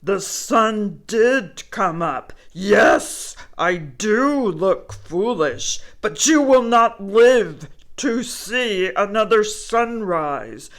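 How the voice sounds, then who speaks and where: A woman voicing a huge grumpy male bear who growls his words loudly and slowly. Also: fairly low pitch, lively intonation. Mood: menacing. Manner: growling, loud and slow.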